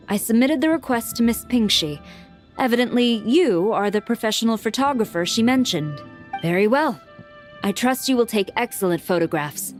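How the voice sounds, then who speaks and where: Actress as a reserved, formal woman, fairly high. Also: darkish tone, close to an everyday voice. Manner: formal, measured, terse. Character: reserved, formal, composed female game character. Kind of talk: video game character dialogue.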